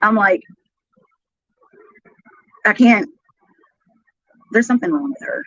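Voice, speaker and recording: A woman's voice: on the high side, slow, a bit bright, no echo. Giggly woman chatting on a lo-fi stream. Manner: giggly, crude, incredulous. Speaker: live streamer. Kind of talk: casual live stream chat. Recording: dull, lo-fi replayed stream audio.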